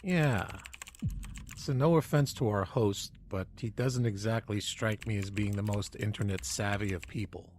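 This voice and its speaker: A man's voice: on the low side, normal speed, a little mellow, a little hiss or hum, lightly acted. A man playing a persistent amateur researcher with a casual, wry manner in an audio drama. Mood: intrigued, wry.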